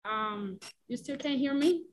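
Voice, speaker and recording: A woman commissioner with a loud voice, speaking casually with many fillers in a meeting. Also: high-pitched, expressive, unhurried, slight background noise, low-quality recording. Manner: casual, lots of "like".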